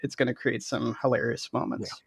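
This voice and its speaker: A man's voice: mid-range voice, fairly even intonation, moderate pace, vocal fry, husky, no echo. A man chatting casually and telling anecdotes as a guest game creator on a video call. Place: indoor room on a video call.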